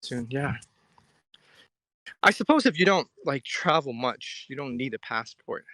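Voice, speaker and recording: A man's voice: mid-range voice, expressive, a little slow, bright, forceful tone, dry recording. A man from Hong Kong live-streaming in a casual, musing, conversational way, reading comments aloud and replying to viewers. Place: outdoors at a riverside.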